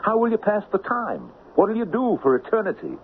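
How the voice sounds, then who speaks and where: An actor playing the Devil as a mocking, smooth-talking gentleman schemer in a 1970s radio drama. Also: high voice, very animated, moderate pace. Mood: taunting. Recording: muffled, hissy old radio broadcast recording.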